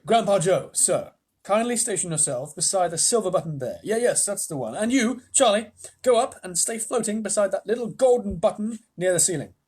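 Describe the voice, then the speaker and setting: A reader voicing an excitable, eccentric male chocolatier who lectures grandly, with a husky edge. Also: medium pitch, brisk, voice pushed up. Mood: showy. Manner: bouncy, theatrical, instructive.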